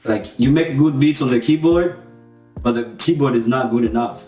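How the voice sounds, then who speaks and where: A man's voice: mid-range voice, moderate pace, dark tone. A man, talking casually and slangily as an interviewed musician, mixing in some Swahili. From born in Tanzania to Burundian parents, grew up in the United States from age seven. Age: 20s. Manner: informal, slangy, mixes some Swahili into English. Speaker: musician and producer being interviewed. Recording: roomy echo with faint music behind.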